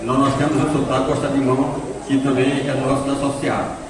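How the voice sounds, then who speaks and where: A man's voice: medium pitch, little pitch movement, fast talker, noisy background. A man, president of a cultural association, giving a rambling, grateful thank-you speech at an event. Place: cultural center hall. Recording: echoey hall, distant mic, crowd.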